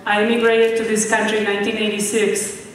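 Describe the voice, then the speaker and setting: A woman from Mexico with a Mexican accent and Spanish as her first language, giving an emphatic, deliberate impromptu political speech. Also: medium pitch, monotone. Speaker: congressional candidate, guest speaker. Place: event hall with audience. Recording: echoey noisy hall, distant microphone.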